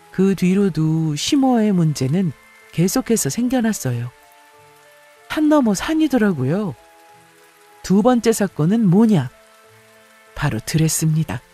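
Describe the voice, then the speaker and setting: High-pitched, lively male story narrator. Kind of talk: dramatic scripted first-person narration.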